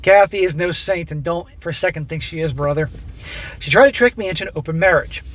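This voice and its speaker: A middle-aged host with a high-pitched voice reads a listener's story and breaks in with blunt, profane advice. His voice is going hoarse. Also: quick pace, fairly bright tone, poor audio. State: losing his voice. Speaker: host reading listener stories. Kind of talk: reading a submitted story aloud with commentary.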